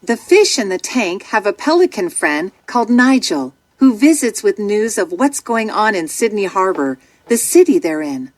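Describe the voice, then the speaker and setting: A woman narrating a scripted children's story in an expressive, dramatic way. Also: mid-range voice, moderate pace, few pauses, no room sound.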